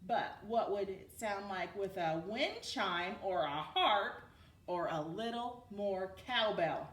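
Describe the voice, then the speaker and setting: A woman voicing an excitable, eager, kind-hearted male storybook horse who gushes and exclaims. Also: medium pitch, slow. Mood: amazed. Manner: exclaiming, gushing. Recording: roomy, distant mic with background noise.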